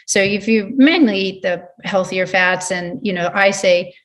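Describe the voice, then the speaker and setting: A woman's voice: medium pitch, a little slow, a bit bright. A woman in her fifties, a sports nutritionist, giving earnest advice in a casual podcast chat, often restarting her sentences. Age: 50s. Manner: earnest, advising, many restarts.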